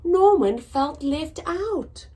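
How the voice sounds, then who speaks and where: A woman hosting a children's story time, reading a picture book aloud in an expressive, sing-song way for small children. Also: high voice, extremely slow.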